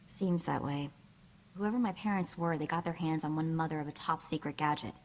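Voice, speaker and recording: A woman's voice: sits low, fairly even intonation, brisk, a little mellow, a little hiss or hum. A woman voicing a plucky, street-smart young slicer in a video game, wry and breezy, with clipped slangy talk. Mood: determined.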